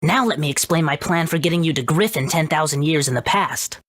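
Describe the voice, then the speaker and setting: A woman voicing an excitable, friendly little scientist creature in a video game, with a showy, emphatic delivery. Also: sits low, fairly quick, no echo, studio quality. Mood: proud, enthusiastic. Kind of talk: English video game voice acting.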